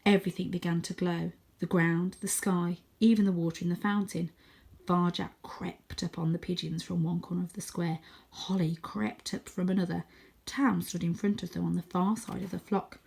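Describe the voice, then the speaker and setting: A woman reading a children's story aloud in a dramatic manner, dropping to a hushed near-whisper for suspense. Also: on the low side, little pitch movement, normal speed, gravelly.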